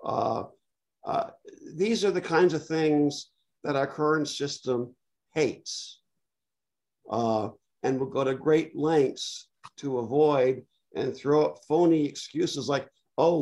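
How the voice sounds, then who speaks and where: An older man speaking bluntly and dryly, with many filled pauses, on a video call from a home study. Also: medium pitch, a little mellow. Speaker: panelist. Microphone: laptop webcam on a video call.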